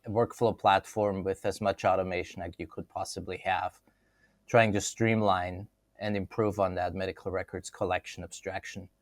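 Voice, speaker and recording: Low-voiced man, dry close sound. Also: no echo. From Austria. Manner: thoughtful, restarts phrases often. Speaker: CEO guest. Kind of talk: conversational interview.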